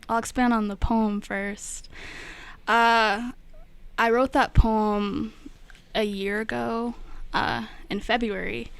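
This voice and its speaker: Young woman poet guest, high-ish voice, unhurried and thoughtful, dry studio sound. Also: clear, resonant tone, no echo. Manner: slow and reflective, many fillers. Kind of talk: spoken word poetry and casual radio talk. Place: radio studio.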